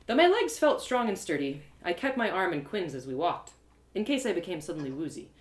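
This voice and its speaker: A woman performing an animated, expressive first-person fantasy story as a dramatic narrator. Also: fairly low pitch, brisk. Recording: roomy home live reading.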